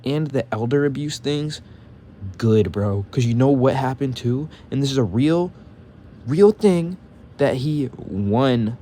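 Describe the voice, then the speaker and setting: A man's voice: medium pitch, dark tone, slight background noise. A young man ranting casually to his viewers, profane and heated, saying bro constantly and stuttering when he gets mad. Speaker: vlogger.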